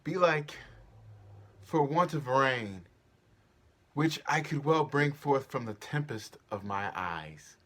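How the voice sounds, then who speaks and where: A man's voice: mid-range voice, lively intonation, slow, long pauses, a bit bright. A man playing a young woman in love, pleading yet defiant, in an earnest theatrical Shakespearean reading. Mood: anguished.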